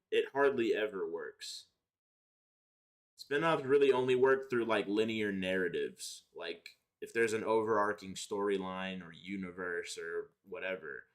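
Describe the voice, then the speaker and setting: Low-voiced young man, casual and slangy. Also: fairly bright tone. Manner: slangy, profane, opinionated. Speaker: live streamer. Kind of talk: casual livestream chat, answering viewers.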